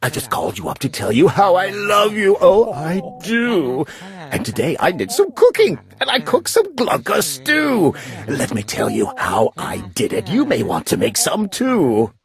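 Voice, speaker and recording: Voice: medium pitch, huge pitch swings, measured pace. A performer voicing a chatty, pushy male storybook creature, gabbing and wheedling in rhyme. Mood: gabby, affectionate. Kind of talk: rhyming children's storybook character voice.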